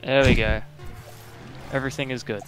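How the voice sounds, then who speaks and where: A man's voice: mid-range voice, normal speed, faint music behind. A man chatting casually and rambling a bit while streaming a game with a friend. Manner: relaxed, rambling with fillers. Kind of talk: casual gaming chat.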